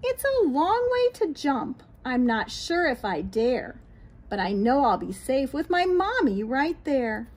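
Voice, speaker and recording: An American woman reads aloud for small children in a high-pitched, sing-song delivery. She takes her time over the phrases and addresses young listeners directly. The recording is outdoors, with light ambient noise behind her. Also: very animated, a little slow. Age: middle-aged. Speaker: children's read-aloud host, teacher. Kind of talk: expressive picture-book read-aloud for young children. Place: small backyard patio, outdoors.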